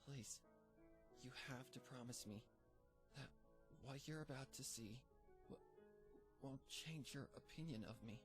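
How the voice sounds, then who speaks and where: A man voicing a frightened, tearful young female pony who speaks in a hushed, stammering voice and holds back sobs. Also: mid-range voice, unhurried, long pauses, music in the background, close to an everyday voice.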